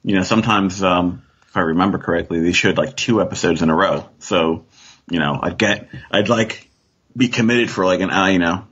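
A man's voice: deep voice, fairly quick, a bit bright, slightly rough. A man chatting casually as a podcast guest, hesitant and thinking aloud, on dull lo-fi call audio. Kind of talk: casual fan discussion.

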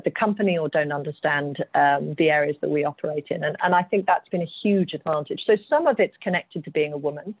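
A woman's voice: low voice, no room sound. A woman with an English accent, a mining executive talking earnestly on a panel and often repeating words as she thinks. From United Kingdom. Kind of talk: panel discussion over video call. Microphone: video call. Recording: tinny, phone-like call audio.

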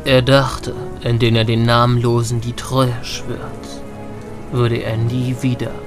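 A man's voice: medium pitch, little pitch movement, measured pace. A man giving dramatic, scripted narration for an audio drama. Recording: loud music under the voice.

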